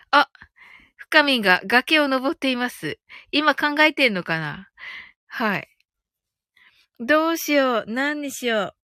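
A woman with a high voice hosts a casual live stream, recorded close and dry. She sounds cheerful and giggly, often thinking aloud while chatting with listeners and reading their comments. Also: a bit bright, no room sound. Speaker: host of a live audio stream.

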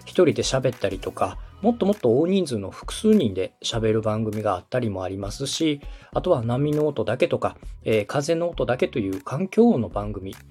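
Lively-pitched man explaining, music behind. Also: moderate pace, hardly any pauses, slightly rough. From Osaka, Japan. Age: 30s. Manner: polite, step-by-step explaining, checks in with listener. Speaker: podcast host and radio director. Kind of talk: explanatory podcast talk to a co-host.

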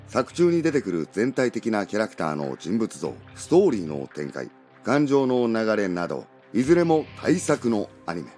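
A man's voice: lowish voice, very animated, normal speed, mellow, soft background music. A man who acts with his voice, giving an earnest, formal promotional testimonial. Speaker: voice actor.

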